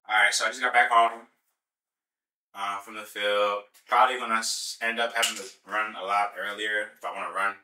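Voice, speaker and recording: A man's voice: on the low side, level tone, moderate pace, far from the mic, loud music behind the voice. A young man chatting casually on a fitness vlog, laid-back and slangy, filmed at home and outdoors on a camera mic. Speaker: fitness vlogger. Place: home kitchen and outdoor field. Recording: roomy sound from off mic.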